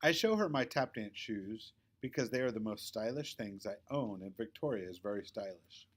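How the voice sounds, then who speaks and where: A man reading a children's story aloud with expressive, dramatic phrasing. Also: lowish voice, moderate pace. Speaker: audiobook-style reader.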